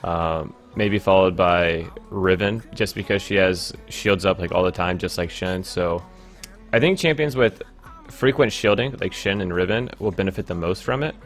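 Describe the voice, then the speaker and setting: Sick stuffy young guy rambling about a game update, lowish voice, a little music under it. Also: moderate pace. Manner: rambling and upbeat, many fillers. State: sick, stuffy and congested with sore throat. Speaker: gaming YouTuber. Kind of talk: casual game commentary over screen capture.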